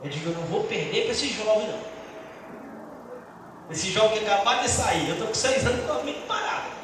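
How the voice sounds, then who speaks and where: Middle-aged pastor in an echoey hall. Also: male voice, high voice, animated. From Brazil. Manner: earnest personal testimony, halting restarts. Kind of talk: church teaching talk. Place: church hall. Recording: echoey church hall from far off, noisy.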